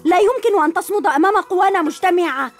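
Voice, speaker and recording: A woman voicing an eager young superhero boy in a cartoon dub, energetic and shouting hero calls. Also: extremely high pitch, fast talker, soft background music. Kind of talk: cartoon dubbing.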